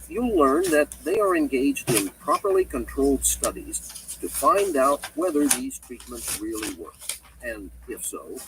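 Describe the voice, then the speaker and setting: A man's voice: mid-range voice, recorded from a distance, background noise. Male audiobook narrator with swooping intonation. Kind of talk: scripted nonfiction audiobook narration.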